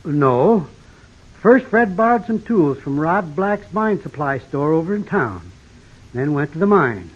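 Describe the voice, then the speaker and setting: A man's voice: on the high side, sing-song, measured pace. A man playing a folksy, wary old homesteader in a western radio drama, with a plain, drawling rural Western American accent. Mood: rueful. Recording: dull vintage radio broadcast recording.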